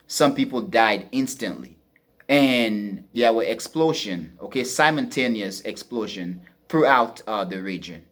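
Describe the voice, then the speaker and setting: A man delivering a semi-improvised geopolitical commentary as a podcast host, emphatic and repeating phrases for stress. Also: lowish voice.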